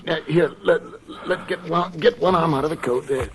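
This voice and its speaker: A man playing a solicitous colleague in an old-time radio drama, hesitant and gently scolding. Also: fairly high pitch, normal speed, background noise, close to an everyday voice. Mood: concerned. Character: fussing, caring male colleague of the professor.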